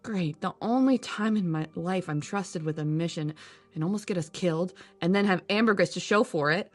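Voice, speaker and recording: A woman's voice: on the low side, normal speed, no echo, faint music behind, natural voice. A woman playing an earnest, flustered young circus adventurer in an audio drama, self-deprecating and a little hesitant. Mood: flustered, dejected. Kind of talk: audio drama character acting and narration.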